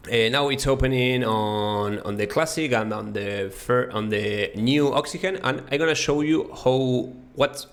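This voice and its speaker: A man from Argentina with an Argentine Spanish accent, whose first language is Spanish, giving a halting, casual walkthrough of software with many fillers and restarts. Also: mid-range voice. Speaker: web design course creator.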